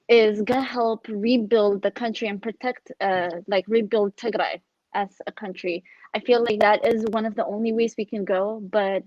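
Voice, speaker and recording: A woman's voice: mid-range voice, no room sound. A young woman from Ethiopia speaking as a panelist in an online discussion, chatty and full of "like" and "you know". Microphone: video call. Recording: dull, dry video call audio.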